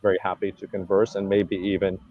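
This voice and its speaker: A man, an event organizer, talking in a casual interview, halting and restarting often with many fillers. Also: low-pitched, completely flat delivery, dry recording, phone-quality sound. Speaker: convergence organizer. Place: Thailand.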